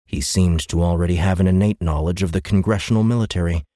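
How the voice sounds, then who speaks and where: A man's voice: low-pitched, quick pace, warm tone, no room sound, studio quality. A man reading a science fiction audiobook in scripted dramatic narration.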